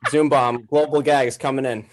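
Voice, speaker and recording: A man's voice: medium pitch, steady tone, brisk. A man chatting casually as a podcast guest, joking in a mock-serious way. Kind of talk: casual comedic chat.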